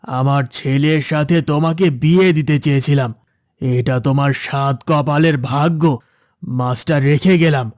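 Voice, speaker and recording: A man's voice: fairly high pitch, steady tone, moderate pace, no echo, studio quality, close to an everyday voice. A man voicing a pushy, scheming village headman in a cartoon, domineering and wheedling by turns. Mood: indignant. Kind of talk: Bengali cartoon voice acting.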